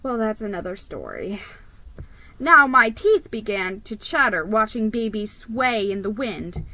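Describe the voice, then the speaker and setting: A woman giving a theatrical, expressive reading of a children's story as its narrator. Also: mid-range voice, unhurried, rough recording. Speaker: story reader.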